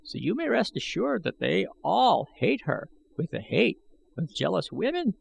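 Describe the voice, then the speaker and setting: A man voicing a bent, eager old man with excitable, old-fashioned pleading speech, as an audiobook character. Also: sits high, measured pace, vocal fry, breathy edge, pitched above the performer's own voice, exaggerated voice. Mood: urgent warning. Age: older.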